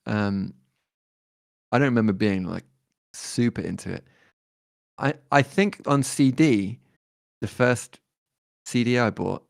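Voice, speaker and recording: A man, talking as a guest musician in a relaxed interview, thoughtful and hesitant with many fillers and restarts. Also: fairly low pitch, vocal fry, no echo, clean studio sound. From born in Detroit, raised in London.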